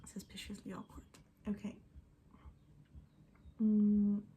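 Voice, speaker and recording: A young woman who is not a native English speaker, chatting in a soft, often half-whispered, rambling way as she does her makeup at home in front of a mirror. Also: medium pitch, completely flat delivery, slow, husky. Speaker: beauty and book vlogger. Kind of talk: get-ready-with-me chat.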